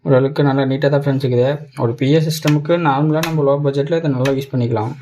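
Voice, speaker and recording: A man's voice: medium pitch, steady tone, very fast, mellow. A man with a Tamil Nadu Tamil accent giving a casual product demo as a tech reviewer, explaining step by step in colloquial, repetitive phrases. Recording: clear background noise, clicks.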